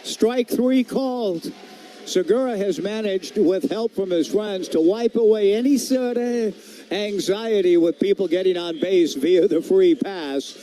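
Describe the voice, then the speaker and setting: An American man doing baseball play-by-play with a very mellow, soft-edged tone. His voice sits a little high, and he phrases things in a measured, descriptive way. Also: slight background noise. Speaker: baseball radio play-by-play announcer. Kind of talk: sports play-by-play commentary.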